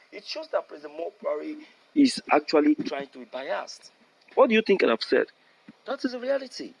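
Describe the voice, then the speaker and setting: Man, fairly high pitch, news commentator, emphatic, repetitive, rhetorical questioning. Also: gravelly, rough recording. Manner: emphatic, repeating phrases and posing rhetorical questions. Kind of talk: opinionated news commentary addressed to listeners.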